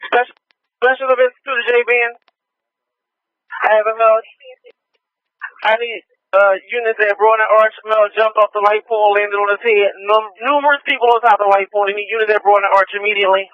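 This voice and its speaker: A man's voice: high voice, fairly even intonation, brisk, no echo. A man working as a police radio dispatcher, speaking in clipped phrases and repeating messages back over the radio. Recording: muffled police scanner radio audio.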